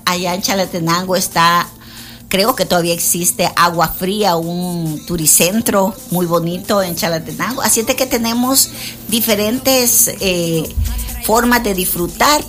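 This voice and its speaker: Woman in her 60s, radio life coach, lively and chatty, soft music under her. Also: mid-range voice. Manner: lively, chatty, laughs often, addresses listeners directly. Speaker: motivational coach on a radio show. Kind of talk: casual radio chat.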